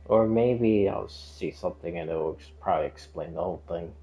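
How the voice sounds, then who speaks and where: A man's voice: deep voice, moderate pace, warm tone. A man chatting casually as a game streamer, rambling and hesitant, often trailing off and drifting onto tangents. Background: background music.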